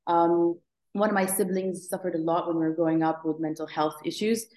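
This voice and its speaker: A woman in her thirties, originally from Morocco, speaks as an interview guest with a low, even-paced voice. She talks in a reflective way, stopping for fillers and restarts. Her voice carries a noticeable room echo. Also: fairly quick. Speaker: guest, app founder. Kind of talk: conversational interview.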